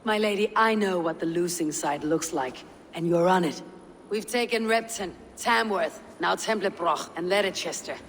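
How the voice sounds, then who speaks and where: An actress playing a tough, shrewd Viking raider in a video game, with a dry, measured, taunting manner. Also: female voice, fairly low pitch, natural voice. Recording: long reverb, faint noise.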